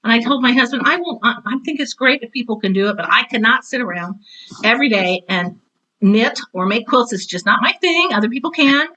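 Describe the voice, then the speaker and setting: A woman's voice: fairly high pitch, expressive, brisk, pushed and bright, rough recording. A woman in her sixties chatting casually on a podcast, animatedly telling stories and acting out quoted remarks. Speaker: retired teacher and author talking on a podcast. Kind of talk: casual conversational podcast chat.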